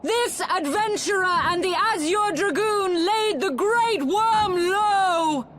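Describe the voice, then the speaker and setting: An actress playing a noble, resolute elven rebel leader in a fantasy video game, speaking in formal, oratorical phrases. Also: female voice, very high-pitched, takes it slowly, heavy background noise. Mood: rousing, urgent.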